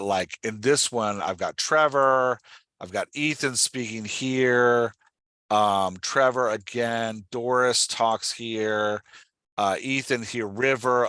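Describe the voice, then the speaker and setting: A man's voice: fairly low pitch, fairly bright tone, no echo. A man hosting a podcast and coaching in a casual, direct, instructive way, often checking that he is understood. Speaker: podcast host coaching an author on dialogue. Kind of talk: casual one-on-one coaching talk.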